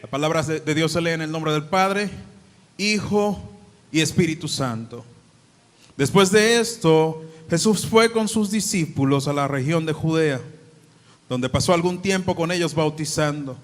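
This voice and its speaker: A man's voice: a little high, unhurried. A man from the Dominican Republic with a Dominican accent, preaching a sermon to a congregation, praying, reading scripture aloud and speaking chattily between readings. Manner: prays and reads scripture aloud, then talks chattily to the congregation. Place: church hall. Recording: long church hall reverb.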